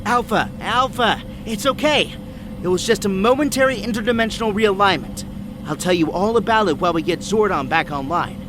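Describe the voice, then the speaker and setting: A man's voice: sits high, brisk, noisy background, lightly acted. A man playing an earnest, brainy young hero with a geeky, kindly manner in an audio drama. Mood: reassuring.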